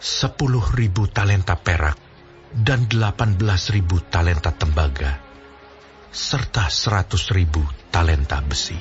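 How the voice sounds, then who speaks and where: A man's voice: sits low, unhurried. A man narrating scripture from a script for an audio drama. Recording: slightly dull audio with faint music.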